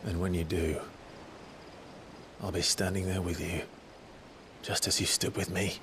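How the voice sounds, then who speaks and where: A very low male voice, a brooding warrior lord from a fantasy game. He is earnest, loyal and sparing with words, keeping a formal, low-key manner over a noisy backdrop. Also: level tone, unhurried. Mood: tender, steadfast. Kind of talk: dramatic fantasy game acting.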